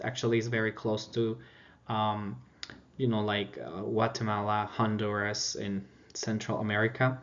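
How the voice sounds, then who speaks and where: A man from Colombia with a Colombian accent, a native Spanish speaker, giving an informal academic presentation as a student and often searching for words. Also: medium pitch. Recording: dull lo-fi audio.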